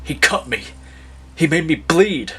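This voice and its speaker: A man's voice: on the high side, sing-song, measured pace, breathy edge, in a large hall, full character voice. A man playing a brooding, arrogant supervillain in an audio drama, aggrieved and full of self-pity. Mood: wounded, brooding.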